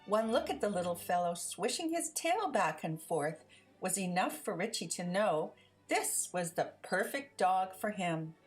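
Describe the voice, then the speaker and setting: Animated, unhurried woman reading a picture book. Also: medium pitch. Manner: deliberate, animated storytelling. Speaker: read-aloud storyteller. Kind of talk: expressive children's picture book narration.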